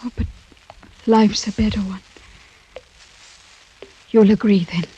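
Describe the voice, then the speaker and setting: A woman's voice: medium pitch, steady tone, slow, dark tone, breathy edge, lo-fi sound. An actress playing an anguished but proud young French noblewoman, pleading formally and melodramatically in an old-time radio drama. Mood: tender. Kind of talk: 1940s-50s American radio drama acting.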